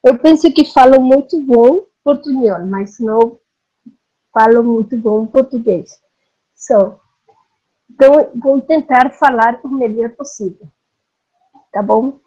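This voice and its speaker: Argentine woman speaking careful, halting Portuguese. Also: a little high, mellow, dull audio. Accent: Argentine Spanish. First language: Spanish. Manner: hesitant, searching for Portuguese words, frequent "sim?" checks. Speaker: psychologist speaker. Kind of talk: webinar presentation.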